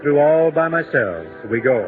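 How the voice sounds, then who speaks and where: A man's voice: a little high, big pitch swings, moderate pace. A man performing folksy patter and an old-time song as a radio show singer. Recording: tinny old radio recording with music.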